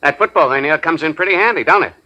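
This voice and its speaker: A man's voice: on the high side, fast talker, pushed and bright, low-quality recording. An actor playing a volatile, sneering crime boss with menacing tough-guy slang in a 1940s radio drama. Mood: sneering, commanding. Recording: 1945 radio broadcast.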